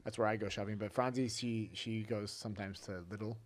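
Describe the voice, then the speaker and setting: A man's voice: fairly low pitch, steady tone, brisk. A man co-hosting a podcast, chatting casually with lots of fillers and easy laughter. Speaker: podcast co-host. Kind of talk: casual chat.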